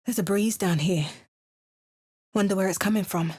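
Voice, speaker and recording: A young woman voicing a game character with wry, measured first-person narration. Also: mid-range voice, darkish tone, breathy edge.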